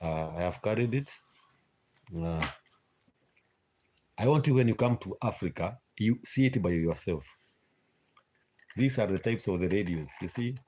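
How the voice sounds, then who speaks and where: A Kenyan man chatting casually with viewers on a live stream, pausing often on "uh" and repeating himself. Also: low voice, animated, mellow, slight background noise. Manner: hesitant, frequent "uh" fillers, repeats himself.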